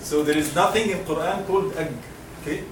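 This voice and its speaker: A man from Egypt leads a classroom lesson, drilling sounds slowly so students can echo them. His voice sits a little high. He is recorded from a distance in a reverberant, noisy room. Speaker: Quran recitation teacher. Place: lecture classroom. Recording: roomy classroom, mic far off, noisy.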